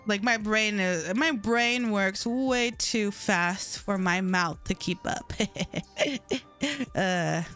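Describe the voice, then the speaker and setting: A woman's voice: mid-range voice, slow pace, fairly bright tone, soft background music. A woman game streamer with a slight lisp, chatting casually on a live stream while playing, mumbling and stumbling over her words.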